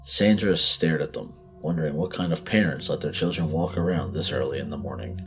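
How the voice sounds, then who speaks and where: A man narrating a scripted horror story in a measured, theatrical, suspenseful way. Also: rumbling low, gravelly, background noise. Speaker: podcast host and story narrator.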